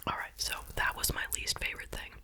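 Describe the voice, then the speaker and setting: Whispering woman, breathy ASMR voice. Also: quick pace. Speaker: host reviewing snacks. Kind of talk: whispered ASMR food review.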